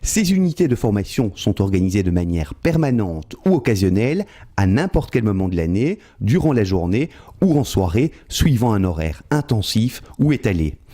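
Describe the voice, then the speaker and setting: Belgian male narrator, warm and lively, measured explainer read. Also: fairly low pitch. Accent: Belgian French. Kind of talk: scripted documentary narration.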